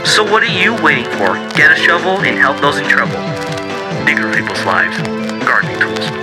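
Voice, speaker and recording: Male radio pitchman over loud music. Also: very animated, moderate pace. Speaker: announcer and ad pitchman. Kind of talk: mock 1940s radio commercial.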